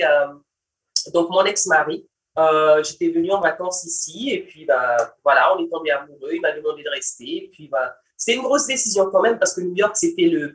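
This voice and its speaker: A Cape Verdean woman in her thirties, a native Portuguese speaker and samba teacher, telling her story in a relaxed way and slipping in English words. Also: fairly low pitch. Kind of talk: casual personal account. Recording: voice from far off the mic.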